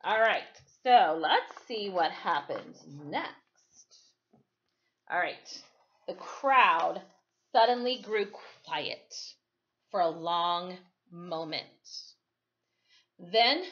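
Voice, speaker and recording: Woman, storybook read-aloud, teacherly asides, very unhurried delivery, dull lo-fi sound. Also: lowish voice, animated, fairly bright tone. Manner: deliberate, checks listeners follow with questions.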